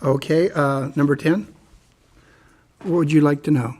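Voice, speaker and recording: A man with a fairly high voice chairs a council meeting as mayor. He moves items along briskly, calling for motions and roll calls. He is speaking in a council chamber. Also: fairly even intonation, a little mellow. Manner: brisk, procedural.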